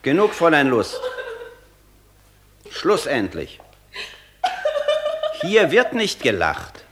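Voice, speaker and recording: An actor playing an imperious, easily irritated scholar in a German radio play, lecturing with pompous condescension. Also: male voice, fairly high pitch, wildly swooping pitch, takes it slowly, noisy background, exaggerated voice. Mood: impatient, irritated. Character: imperious, vain old scholar Faust, easily irritated, dictating.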